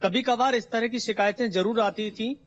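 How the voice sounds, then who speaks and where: A man's voice: very high voice, fairly even intonation, moderate pace. A male news correspondent giving an unscripted live report with frequent hesitations, over a dull lo-fi link.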